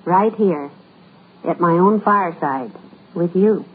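An actress playing a gentle, affectionate middle-aged wife in 1940s radio comedy. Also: female voice, fairly low pitch, lively intonation, takes it slowly, slightly rough, heavily acted. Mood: fond. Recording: tinny old radio broadcast with faint hiss.

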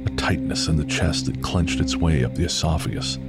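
A man's voice: very deep voice, fairly quick, gravelly. A man narrating a scripted literary horror story for an audio drama. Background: faint music.

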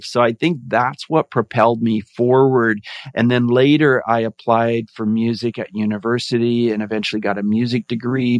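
A man, a podcast guest, telling his story in a calm, reflective, measured way. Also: mid-range voice, dry recording. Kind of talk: interview storytelling.

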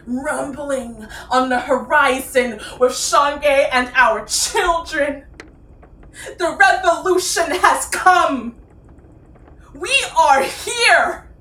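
Stage monologue role: righteous, defiant wronged woman, incantatory, very slow delivery, recorded in a roomy space. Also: sits high, sharp tone, heavily acted. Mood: triumphant, prophetic. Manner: declamatory, deliberate, incantatory. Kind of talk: theatrical poetic monologue. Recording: roomy, distant microphone, faint noise.

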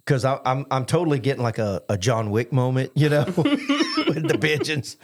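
A man's voice: mid-range voice, very animated, normal speed. A man co-hosting a podcast, joking and bantering in casual chat. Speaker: podcast co-host.

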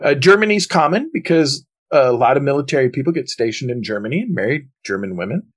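A man's voice: mid-range voice, quick pace, breathy edge. A man from the United States co-hosting a podcast, telling stories in a curious, enthusiastic way. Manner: curious, enthusiastic storytelling with animated swings. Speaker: podcast co-host. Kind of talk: casual conversation.

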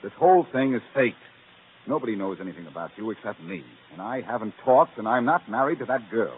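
An actor playing a wry, confident male detective, wisecracking in brisk 1940s radio style. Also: medium pitch, animated, close to an everyday voice. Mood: earnest. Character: wry, quick-witted amateur detective, confident man. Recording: tinny, noisy old radio recording.

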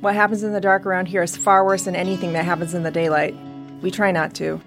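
A woman's voice: fairly low pitch, little pitch movement, quick pace, lightly acted. Actress as a terse, threatening survivor mother, music behind. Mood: guarded. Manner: terse, threatening, foul-mouthed. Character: wary armed survivor mother, tough, commanding, suspicious. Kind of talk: audio drama acting.

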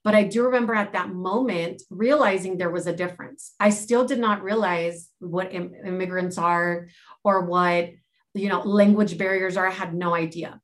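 A woman's voice: on the low side, steady tone, moderate pace. A woman from Los Angeles, a life and health mentor, reflectively telling her own story in a podcast interview. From Los Angeles, United States.

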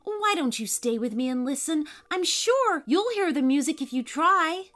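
A woman's voice: sits high, expressive, moderate pace, fairly bright tone, soft background music, voice pushed up. A woman voicing a cheerful little girl in a children's story, sweet, earnest and dreamy. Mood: happy, earnest.

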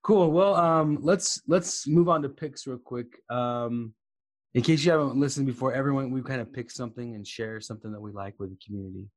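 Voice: medium pitch, a little fast. Easygoing podcast host with a mid-range voice who keeps asking friendly follow-ups. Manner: curious, asks short follow-up questions with soft backchannels. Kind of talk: casual interview chat.

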